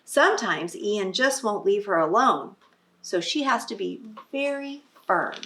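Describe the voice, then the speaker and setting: A woman's voice: mid-range voice, animated, measured pace, a bit bright. A woman reading a picture book aloud to young children, teacher-style, with explanatory asides and prompting questions. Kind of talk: expressive storybook read-aloud with asides to children.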